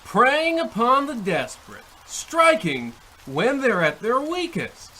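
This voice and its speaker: A man's voice: very high-pitched, huge pitch swings, slow, pushed and bright, heavily acted, poor audio. A man playing a sly devil posing as a traveling salesman, theatrical and oily in a comic radio play. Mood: gleeful menace. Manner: theatrical, oily, over-polite. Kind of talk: comic old-time radio play acting.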